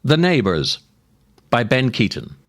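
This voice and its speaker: An older man hosting a podcast, reading scripted comic stories and verse in a theatrical, deliberate way with dramatic pauses. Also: medium pitch, big pitch swings, slight background noise. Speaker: podcast host, writer and actor.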